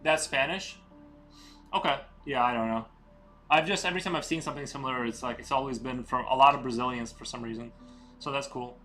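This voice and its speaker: A man streaming while he draws, chatting casually in a rambling, relaxed way with lots of fillers. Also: mid-range voice, fairly quick, soft background music. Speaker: streamer and artist. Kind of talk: casual live-stream chat while drawing.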